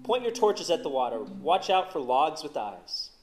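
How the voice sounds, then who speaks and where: A man's voice: on the high side, sing-song, moderate pace, darkish tone, slight background noise, voice pushed up. A man voicing a bold, good-humoured adventurer in a told story, speaking up emphatically. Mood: bold, urgent. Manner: emphatic, calling out.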